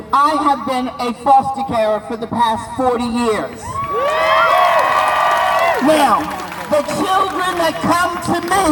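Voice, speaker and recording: Older woman, outdoor rally speech, slow deliberate delivery, shouted emphasis, distant mic, echo, crowd noise. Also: sits high. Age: 60s. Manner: declamatory, drawn-out phrases with shouted emphasis. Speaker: rally speaker. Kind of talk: impassioned protest speech. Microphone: unamplified, distant camera.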